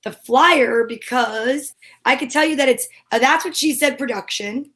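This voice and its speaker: A woman co-hosts a show in a distinctly high voice. She chats casually, telling things in an informal, conversational way. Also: measured pace, a bit bright. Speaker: co-host. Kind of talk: casual chat.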